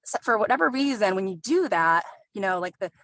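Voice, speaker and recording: A woman's voice: mid-range voice, animated, brisk, fairly bright tone. Earnest woman talking from a car. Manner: earnest, many fillers, clears throat. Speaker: group participant, single mother. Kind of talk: casual sharing in a video call.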